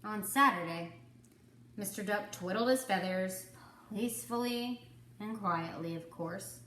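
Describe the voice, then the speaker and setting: A woman reading a children's story to kindergarteners, with deliberate, dramatic phrasing. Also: mid-range voice, fairly bright tone, echoey room, slight background noise. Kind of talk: expressive children's story narration.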